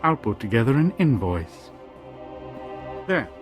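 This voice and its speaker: A man acting a smooth, menacing arms dealer in a video game, oily and businesslike. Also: mid-range voice, big pitch swings, unhurried, faint music behind. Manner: oily, businesslike, veiled threats.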